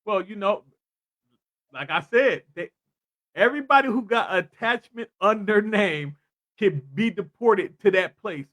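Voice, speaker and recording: A man with a high voice leads a casual panel discussion. He is insistent and keeps pressing with questions, circling back to repeat his point. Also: expressive, a little slow, fairly bright tone. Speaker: podcast panel host.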